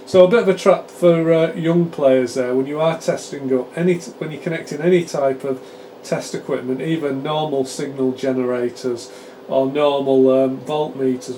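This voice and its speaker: British man, soft-edged mellow tone, informal technical presenter, chatty and halting delivery, light background noise. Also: mid-range voice, low-quality recording. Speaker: presenter demonstrating radio repair equipment. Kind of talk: informal hands-on technical explanation.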